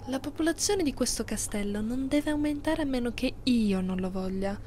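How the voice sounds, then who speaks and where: A woman's voice: a little high, lively intonation, a little mellow, close to an everyday voice. Woman acting a haughty vampire mistress, noisy ASMR recording. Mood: jealous, stern. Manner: slow, imperious, condescending, often near whisper. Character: haughty, possessive immortal female vampire mistress. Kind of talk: ASMR roleplay, breathy close delivery.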